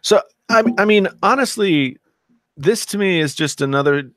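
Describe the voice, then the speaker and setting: A man's voice: high-pitched, expressive, normal speed, fairly bright tone, no room sound. A man co-hosting a tech podcast in casual chat, easygoing and wry, often restarting his sentences as he talks into a studio microphone.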